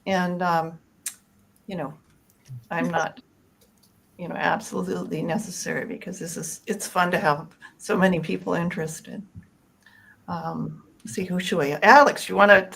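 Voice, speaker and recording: A woman's voice: lowish voice, fairly even intonation, normal speed, vocal fry, long pauses, a bit bright, slightly rough. A woman hosting an online discussion in an informal, rambling way. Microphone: video call microphone.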